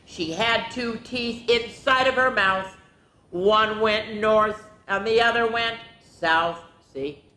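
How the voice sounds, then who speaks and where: A woman's voice: takes it slowly, sharp tone. A woman hosting a children's story time, reading picture books in a sing-song way with chatty, exclamatory asides. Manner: chatty, exclamatory, drawing out rhymed words. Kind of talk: animated picture-book read-aloud with sing-song rhymes and casual asides. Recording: echoey room with background rumble noise.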